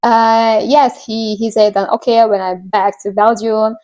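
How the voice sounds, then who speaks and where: Indonesian-accented woman, halting casual storyteller. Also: a little high, level tone, darkish tone. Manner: halting, searching for words, repeats phrases. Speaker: vlogger. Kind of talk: casual personal storytelling to viewers.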